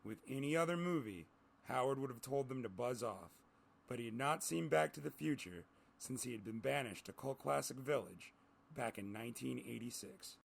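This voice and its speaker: A man reads scripted story narration. His voice sits at a medium pitch and is thick with gravelly creak. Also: expressive, moderate pace.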